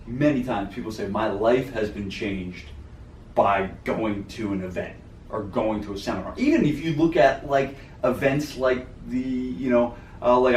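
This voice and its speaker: A man, a gym owner co-hosting a podcast, talking earnestly and rambling with many "like"s and "you know"s. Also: medium pitch. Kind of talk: casual podcast talk. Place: podcast studio. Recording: roomy, mic at a distance, faint noise.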